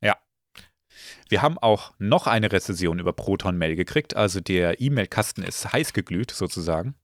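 A slightly hoarse man hosting a casual podcast, relaxed and full of filler sounds. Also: deep voice, normal speed, no echo, studio quality. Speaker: podcast host.

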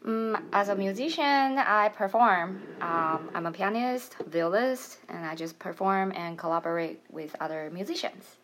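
Brief: young woman from Taiwan, pianist guest, friendly and plain-spoken, mid-pitched. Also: measured pace, hardly any pauses, a bit bright, a little hiss or hum. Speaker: pianist and piano teacher. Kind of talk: interview guest.

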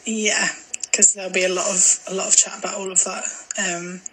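A woman's voice: mid-range voice, flat delivery, a little fast, sharp tone, slight background noise, rough recording. A woman in her twenties from Glasgow with a mild in-between accent, co-hosting a casual podcast chat, giggling and trailing off with fillers. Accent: mild, mixed Glasgow accent. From Scotland. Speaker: podcast co-host.

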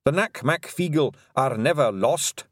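A man's voice: mid-range voice, expressive, a little slow, no room sound, studio quality, pitched above the performer's own voice, natural voice. A man voicing a small, fierce, boastful Pictsie warrior chief with a Scottish accent. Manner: blustering, emphatic.

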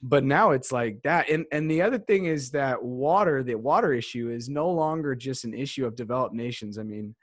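Male podcast host, casual and rambling, middle pitch, dead-dry sound. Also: moderate pace, hardly any pauses, no room sound. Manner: rambling, many restarts and fillers. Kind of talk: casual conversational interview.

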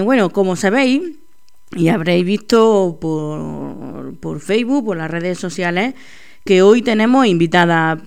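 A woman's voice: mid-range voice, smooth. A woman hosting a local radio show in a chatty, laughing, self-deprecating way. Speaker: radio host. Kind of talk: casual local radio chat.

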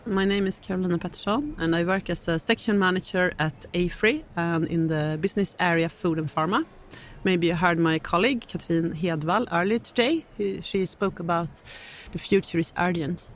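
A woman's voice: on the low side, normal speed, a little hiss or hum. A woman, a section manager, answering interview questions in a matter-of-fact, businesslike way. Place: live event stage.

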